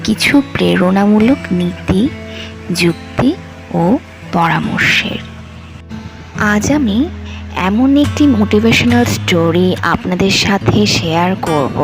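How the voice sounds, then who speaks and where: A woman's voice: medium pitch, vocal fry. A woman reading a scripted motivational story as its narrator. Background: music.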